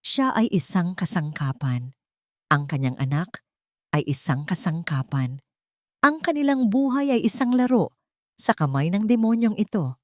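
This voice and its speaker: A woman's voice: lowish voice, lively intonation, normal speed, no room sound, clean studio sound. A woman with a Filipino accent reading a dramatic romance story as a scripted narration.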